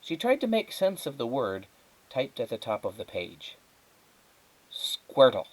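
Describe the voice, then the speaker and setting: A man narrates a children's book in a medium-pitched voice with lively, wide-ranging intonation. His phrasing is measured and dramatic, with emphatic pauses, like a teacher reading to a class. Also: slightly rough, low-quality recording. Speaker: teacher reading aloud to students. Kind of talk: expressive children's storybook narration.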